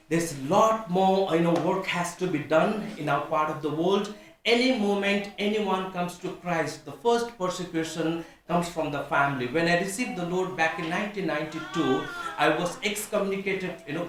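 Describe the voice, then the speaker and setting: A man in his forties from Nepal, with a Nepali accent, speaking earnestly to a congregation as a visiting pastor, halting often with fillers and restarts. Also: sits high, a bit bright, slight background noise. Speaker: visiting pastor and church planter. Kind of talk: church talk to a congregation. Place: church hall. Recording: echoey church hall from far off.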